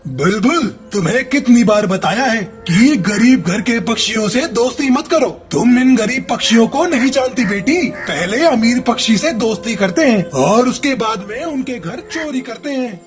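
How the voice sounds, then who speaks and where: Man playing wealthy cartoon father bird, unusually high voice, stern, protective, background music. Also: expressive, moderate pace, few pauses. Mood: stern, scornful. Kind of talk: children's cartoon dialogue. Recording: music under the voice.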